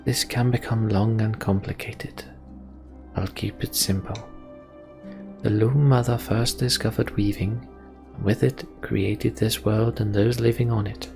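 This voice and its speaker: A man voicing a calm, grave female sorcerer in a fantasy game, telling the story in a measured, deliberate way. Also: lowish voice, creaky. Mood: solemn, explanatory. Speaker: let's play host reading game dialogue aloud. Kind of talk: voiced reading of fantasy RPG dialogue. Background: game music.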